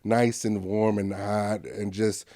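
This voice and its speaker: A comedian from Miami, a guest on a podcast, chatting and teasing with quick quips. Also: male voice, lowish voice, level tone, warm tone, no echo, clean studio sound. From Miami, Florida. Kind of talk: casual chat.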